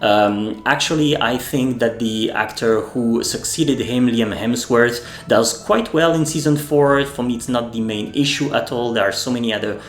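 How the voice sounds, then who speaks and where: A man, a native French speaker, hosting a video essay and speaking in a measured way, often pausing with fillers and restarting his sentences. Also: medium pitch. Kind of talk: spoken commentary essay. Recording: echoey room with faint music.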